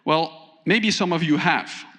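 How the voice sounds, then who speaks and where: A man with a fairly high voice is giving a conference keynote on stage, talking with lively energy and often pausing to put questions to the audience. His voice rings out through a large hall with a long, lingering reverb. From Thailand. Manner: animated, asks the audience questions, pauses often. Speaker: keynote speaker.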